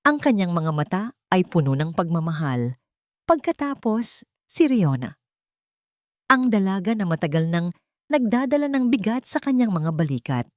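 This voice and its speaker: A woman narrating a scripted family drama in an earnest, sentimental way, in short deliberate phrases. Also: fairly low pitch, very animated, no room sound, clean studio sound.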